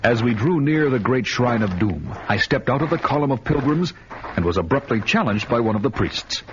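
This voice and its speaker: An actor playing a vengeful barbarian warrior, narrating his quest in a grim, measured way. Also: medium pitch, lively intonation, slight background noise, close to an everyday voice. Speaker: narrator and hero. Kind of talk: first-person radio drama narration. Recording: dull lo-fi audio with music behind.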